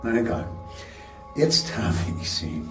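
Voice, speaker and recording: An actor playing a cold, sadistic killer in a radio play, taunting and deliberate in his menace. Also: lowish voice, big pitch swings, fairly bright tone, heavily acted. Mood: smug, menacing. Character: cold sadistic killer, wealthy inventor's son. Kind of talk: German audio drama acting. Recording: roomy, slightly distant studio staging under music.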